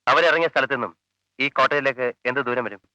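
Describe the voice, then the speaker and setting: A man playing a brisk, probing police officer questioning witnesses in a 1980s film drama. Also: medium pitch, pushed and bright, no echo, poor audio. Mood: wry. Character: brisk sharp-tongued male police officer. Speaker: police investigator.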